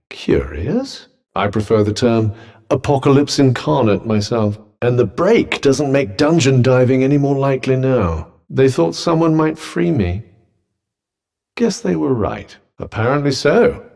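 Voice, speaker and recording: A scornful male demon trapped in a talking cuff, a video game companion with a husky, slightly breathy voice. His delivery is dry and mocking, and the pitch swings widely through every barb. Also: a little mellow, heavily acted.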